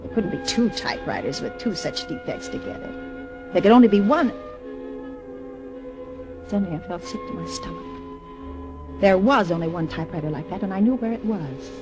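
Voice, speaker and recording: A woman playing an anxious, defensive secretary who lives alone, in old-time radio mystery drama, with fretful, halting, dramatic delivery. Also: mid-range voice, sing-song. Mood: tense, brooding. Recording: vintage radio drama with music.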